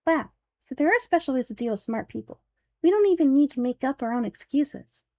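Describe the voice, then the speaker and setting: A woman's voice: high-pitched, expressive, a little fast, darkish tone, no room sound, studio quality. A woman voicing a small, childlike, curious girl companion from a video game, exclaiming and asking questions. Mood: amazed.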